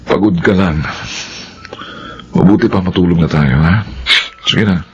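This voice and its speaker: A man playing an exasperated, dismissive middle-aged Filipino husband in a radio drama, with a husky voice. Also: sits low, a little slow, highs cut off. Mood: exasperated, placating. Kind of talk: Philippine radio drama acting.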